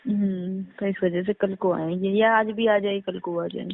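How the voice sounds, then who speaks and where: A woman's voice: mid-range voice, steady tone, measured pace. A young woman chats casually on a phone call, often checking for agreement. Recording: narrowband phone line, faint noise.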